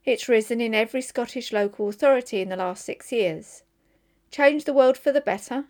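A woman's voice: on the high side, fairly quick. A woman volunteer reader reading a newspaper opinion column aloud for listeners.

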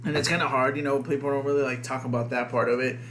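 A man's voice: medium pitch, little pitch movement, fast, a bit bright. Young guy on a podcast, swears constantly, full of likes and you knows, slight hum in back. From United States. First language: Spanish. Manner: profane, rambling, heavy on fillers. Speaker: podcast co-host. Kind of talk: casual podcast chat.

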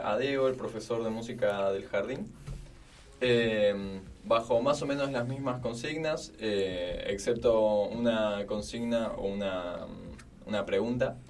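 Hesitant young man, low voice. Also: darkish tone, far from the mic. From Argentina. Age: young adult. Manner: hesitant, many filler sounds. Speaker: student radio host. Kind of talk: hesitant radio presenting.

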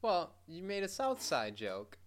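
A man's voice: sits high, wildly swooping pitch, a little slow, background noise. A man, co-hosting a podcast in casual chat, dry and laughing often. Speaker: podcast co-host.

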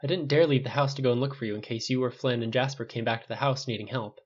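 A man voicing a calm, gentle but firm male caretaker with a quiet, frank manner in an audiobook story. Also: mid-range voice, fast talker, highs cut off, natural voice. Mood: worried reproach. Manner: quiet, soft, frank, uncompromising.

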